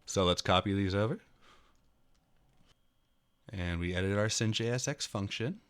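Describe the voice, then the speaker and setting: A man's voice: on the low side, a little slow, clean tone. A man in his thirties reading a written programming tutorial aloud, with an emphatic, somewhat dramatic delivery. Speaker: tutorial host. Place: indoor room at a computer.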